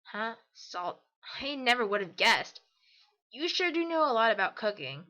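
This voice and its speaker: A woman's voice: on the high side, big pitch swings, measured pace, pushed and bright, highs cut off, heavily acted. A woman voicing a brash, casual young female pegasus pony, blunt and enthusiastic, in a story reading. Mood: curious, impressed. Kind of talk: fan audiobook character acting.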